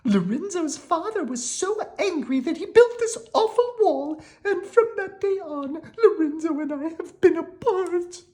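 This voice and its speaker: A woman reading a storybook aloud, voicing a weepy, lovesick young princess with high, melodramatic wailing. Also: expressive, unhurried, few pauses, dark tone.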